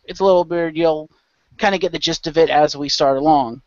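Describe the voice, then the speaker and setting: A man's voice: on the high side, fairly quick, darkish tone. A man hosting and narrating a role-play show as game master in a loose, informal way. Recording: dull lo-fi close microphone.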